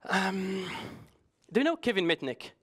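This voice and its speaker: A man's voice: high voice, sing-song, unhurried, a bit bright, husky. Joking man giving a conference talk. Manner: chatty, joking, hesitant, many restarts. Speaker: conference speaker.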